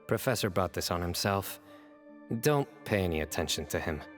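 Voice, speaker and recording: An actor playing a composed, dryly wry young man in a video game, measured and matter-of-fact. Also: low-pitched, lively intonation, faint music behind. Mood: dismissive.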